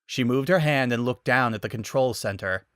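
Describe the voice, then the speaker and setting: A man's voice: mid-range voice, normal speed, a bit bright, smooth, no room sound, clean studio sound. A man giving a dramatic scripted narration of a story.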